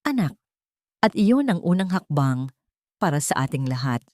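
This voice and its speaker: Woman voicing a gentle, forgiving Filipino mother. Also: sits low, sing-song, normal speed, dry recording. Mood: hopeful. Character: steadfast, forgiving young mother.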